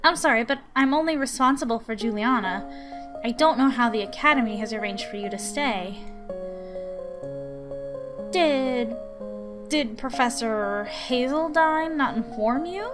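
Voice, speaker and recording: A woman's voice: on the high side, unhurried, long pauses, music in the background, close to an everyday voice. A woman voicing an anxious, apologetic, chatty caretaker in a visual novel, rambling politely. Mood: apologetic, awkward.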